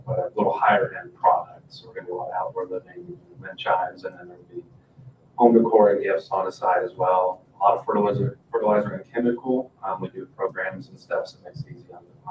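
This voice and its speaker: Low-pitched American man, business owner at a public meeting, echoey room with faint noise. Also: distant mic, highs cut off. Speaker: garden center business owner presenting to a town council. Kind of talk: informal public meeting presentation. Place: indoor town council meeting room.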